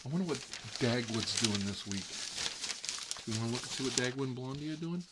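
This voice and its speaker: A man's voice: mid-range voice, expressive, a little fast, heavy background noise, close to an everyday voice. A man with an American accent playing a chatty, hapless fellow who lives with his mother, rambling in folksy, opinionated small talk. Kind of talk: improvised roleplay monologue.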